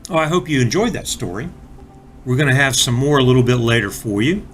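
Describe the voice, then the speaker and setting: Man reading a children's storybook, lively pitch swings. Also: faint music behind. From North Carolina, United States. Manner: deliberate, expressive, addressing young listeners directly. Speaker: storybook reader for children, school resource officer. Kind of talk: storybook read-aloud for young children.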